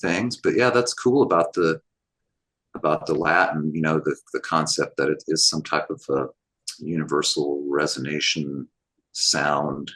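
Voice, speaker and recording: Man, very deep low voice, husky, rambling, casual group chat, lots of asides. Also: a little slow, fairly bright tone. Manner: rambling, many fillers and asides. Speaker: group call participant.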